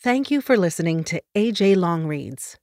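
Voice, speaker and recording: A woman giving a measured, reflective reading of a personal essay on a close studio mic. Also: fairly low pitch, lively intonation, darkish tone, no echo. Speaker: reader of an essay.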